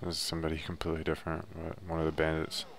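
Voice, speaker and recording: A man's voice: a bass voice, monotone, rapid-fire, gravelly, slight background noise. A man giving a laid-back, offhand, unscripted walkthrough commentary as he plays through a video game. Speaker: gameplay guide narrator.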